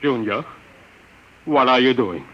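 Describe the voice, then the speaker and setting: An actor playing a horrified, pleading professor in melodramatic 1950s radio style. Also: male voice, medium pitch, expressive, unhurried. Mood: alarmed. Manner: agitated, pleading. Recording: muffled, noisy old radio recording.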